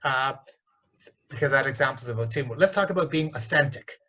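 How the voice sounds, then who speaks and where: Blunt, animated man on a lo-fi call. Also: mid-range voice, quick pace. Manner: blunt, animated, mimics voices in stories. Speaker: organisation development consultant. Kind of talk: candid interview storytelling.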